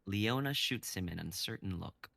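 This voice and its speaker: A man narrating a script and its stage directions in an even, descriptive way. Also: sits low, normal speed, creaky. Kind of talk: reading a script aloud.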